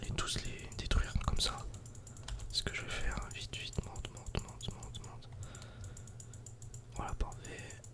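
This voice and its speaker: A woman's voice: slow pace, long pauses. Whispering woman doing ASMR game commentary. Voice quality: breathy, unvoiced whisper. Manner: murmuring running commentary to self and viewers. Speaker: video game streamer, ASMR creator.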